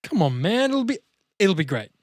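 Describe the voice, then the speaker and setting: A man's voice: high-pitched, sing-song, moderate pace, no room sound, studio quality, pitched above the performer's own voice. A man playing a devastated, freshly dumped young slacker with a downcast, wisecracking manner in a table read of a film comedy. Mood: upset, incredulous.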